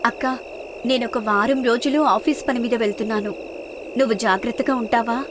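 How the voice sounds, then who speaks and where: A woman's voice: on the high side, fairly even intonation, moderate pace, noisy background, close to an everyday voice. A woman voicing a caring, responsible younger sister with an earnest, coaxing manner in a moral story. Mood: apologetic, gentle.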